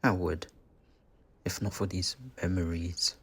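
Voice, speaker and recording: Guy doing evil possessing demon spirit, super low husky gravel, mocking and menacing, slow dramatic pauses, fantasy audio roleplay. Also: little pitch movement, full character voice. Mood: bitter anguish. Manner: theatrical, taunting, deliberate with dramatic pauses. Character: possessing dark spirit villain, mocking, menacing, bitterly obsessive.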